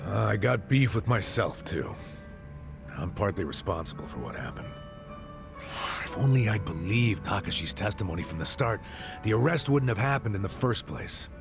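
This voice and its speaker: Casting: middle-aged American ex-policeman for a game, lowish gravelly voice, blunt, regretful, streetwise. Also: sing-song, moderate pace, music in the background. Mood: rueful. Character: disgraced middle-aged ex-cop, gruff, regretful, good-hearted. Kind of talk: video game cutscene dialogue.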